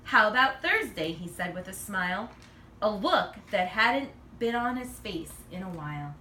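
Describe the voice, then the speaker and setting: A woman reads a children's picture book aloud in an expressive, animated way, acting out the dialogue. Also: mid-range voice, a little slow. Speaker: read-aloud reader. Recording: roomy, distant mic, faint noise.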